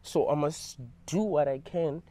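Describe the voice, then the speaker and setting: A young man, telling a personal story to camera in an animated, emphatic way and swearing freely. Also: on the high side, a little slow. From South Africa. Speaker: vlogger.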